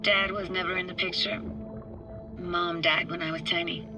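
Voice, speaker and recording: A woman's voice: on the low side, fairly even intonation, normal speed, natural voice. An actress playing a nostalgic, playfully wry young techie woman in a video game, talking in casual, clipped slang. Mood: wistful. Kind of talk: naturalistic video game acting. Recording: music and underwater sounds behind.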